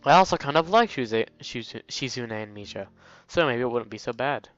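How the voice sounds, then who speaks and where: Young male let's play host, smooth voice, playful stumbling read, dry sound with music underneath. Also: mid-range voice, lively intonation, moderate pace, fairly bright tone, dry recording. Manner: playful, stumbles over lines while reading. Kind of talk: reading a visual novel aloud with off-the-cuff commentary. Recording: dull, lo-fi mic with music. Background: game music.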